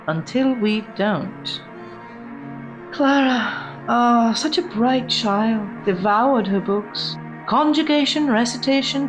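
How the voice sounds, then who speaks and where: A woman playing a vain, obsessive porcelain doll in a gothic horror audio drama, with formal, refined diction and deliberate dramatic pauses. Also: a little high, poor audio. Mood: primly righteous, then fond, nostalgic. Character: prim, vain, obsessive old porcelain doll, genteel lady.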